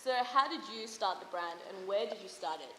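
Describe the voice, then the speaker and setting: Casting note: mid-pitched woman, casual interviewer, echoey reverberant room, faint noise. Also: lively intonation, fairly quick, fairly bright tone. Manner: brief prompts and short acknowledgements. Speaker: interview host.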